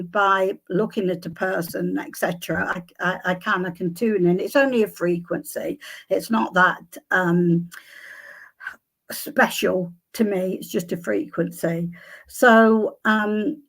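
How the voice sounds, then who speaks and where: An older woman, a psychic guest, answering questions with many pauses and restarts, her voice a little croaky. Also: medium pitch, a bit bright. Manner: hesitant, many ums and restarts. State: slightly croaky voice. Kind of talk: conversational interview answers.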